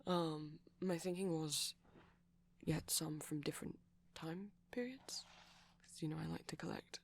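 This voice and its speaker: A man doing a soft-spoken ASMR boyfriend roleplay as a shy, gentle vampire, rambling haltingly in a breathy voice that often drops to a whisper. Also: fairly high pitch, fairly even intonation, slight background noise.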